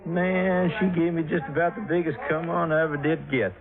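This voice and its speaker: Folksy young Southern cook, muffled radio. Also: male voice, on the high side, fairly even intonation, brisk, creaky. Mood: casual, boastful. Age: young adult. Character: slangy young Southern small-town short-order cook, offhand. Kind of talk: 1950s radio drama acting. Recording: muffled 1950s radio recording with music.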